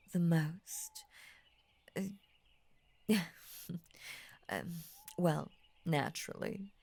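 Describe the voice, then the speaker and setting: A woman voicing an ancient, possessive and adoring lamia in an intimate roleplay audio, speaking softly in grand formal phrases that often drop to a near-whisper. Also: sits low, gravelly, breathy edge, dry recording, full character voice. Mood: possessive, probing, then vain.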